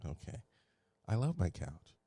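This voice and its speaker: Laid-back low-voiced podcast host. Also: male voice, big pitch swings, unhurried, warm tone, breathy edge, dry recording. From Houston, Texas. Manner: laid-back, jokey, laughs often. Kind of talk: casual chat.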